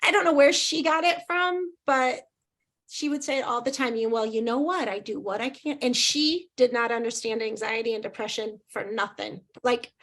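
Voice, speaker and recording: A middle-aged woman from Indiana, a podcast guest chatting casually, rambling and laughing easily in a loud voice. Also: fairly high pitch, moderate pace. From Indiana, USA. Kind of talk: casual interview chat.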